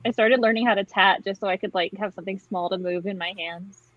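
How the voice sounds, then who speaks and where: A woman's voice: medium pitch, fairly even intonation, fast talker, muffled recording. A woman chatting casually and informally with friends as a guest on a group live show, poking fun at herself. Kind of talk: casual chat among friends.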